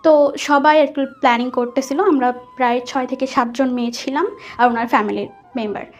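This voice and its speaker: High-voiced young Bangladeshi woman telling her story. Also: fairly even intonation, a little fast. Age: young adult. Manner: chatty, mixes in English words. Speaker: guest telling a life story. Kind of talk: conversational personal storytelling. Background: soft background music.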